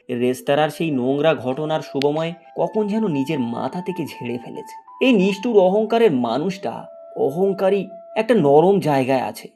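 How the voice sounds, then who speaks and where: A man's voice: on the high side, darkish tone. A man narrating a cartoon moral story in a dramatic, scripted storytelling style.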